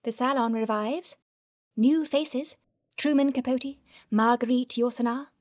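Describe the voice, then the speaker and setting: A woman's voice: a little high, measured pace, no echo, studio quality, natural voice. An actress playing a narrator in an audio drama, a woman who prods and challenges with pointed interjections. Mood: informative.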